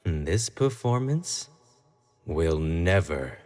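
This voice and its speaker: A man's voice: low voice, very animated, very slow, a little mellow, full character voice. A man playing an obsessive, jealous young pop idol in a dramatic roleplay monologue, speaking intimately to the listener and swinging between sweet pleading and cold condescension. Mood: menacing, possessive.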